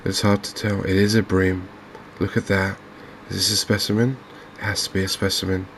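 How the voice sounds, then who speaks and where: A man giving calm, understated, softly spoken ASMR commentary on a video game, in an unhurried way. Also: deep voice, a little hiss or hum. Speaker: gaming video host.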